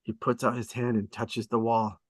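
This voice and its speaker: A man narrating screenplay stage directions at a table read in a measured, descriptive way, with short dramatic phrases. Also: medium pitch, little pitch movement, dry recording.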